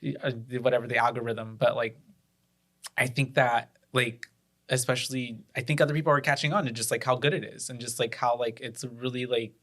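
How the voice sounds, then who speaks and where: A woman in her thirties co-hosting a podcast, talking casually in halting bursts full of fillers. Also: a bass voice, fairly even intonation, fairly bright tone. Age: 30s. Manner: halting, filler-heavy, trailing off. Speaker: podcast co-host. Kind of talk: casual chat.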